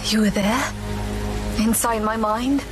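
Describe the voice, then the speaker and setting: Slow-spoken brooding warrior sister. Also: female voice, on the high side, steady tone. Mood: brooding, guarded. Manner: slow, grave, terse, guarded. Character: proud, brooding young warrior woman, guarded older sister. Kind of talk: video game cutscene acting. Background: music.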